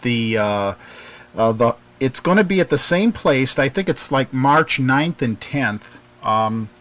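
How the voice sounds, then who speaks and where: An American man hosting a casual hobby radio chat, speaking in a hesitant, halting way. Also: mid-range voice, lively intonation, fairly bright tone. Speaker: radio show host.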